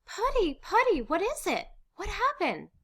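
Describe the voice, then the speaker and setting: A woman's voice: very high-pitched, wildly swooping pitch, a little slow, fairly bright tone, voice pushed up, exaggerated voice. A woman reader playing a distressed, pleading wife in a wartime stage play. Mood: alarmed, pleading.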